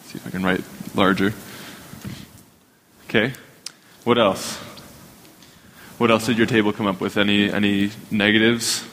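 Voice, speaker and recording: Even-toned man leading a discussion. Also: on the low side, unhurried, pauses often, a little hiss or hum, rough recording. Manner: prompting with short questions and acknowledgements. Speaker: class discussion leader. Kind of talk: facilitating a group discussion.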